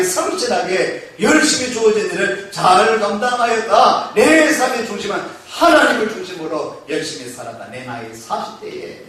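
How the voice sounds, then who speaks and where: High-voiced preaching man in echoey church. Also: fairly bright tone. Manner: call-and-response preaching, asking the congregation questions; slows to stress key phrases. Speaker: pastor. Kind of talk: sermon. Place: church sanctuary. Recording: echoey church hall, mic far off.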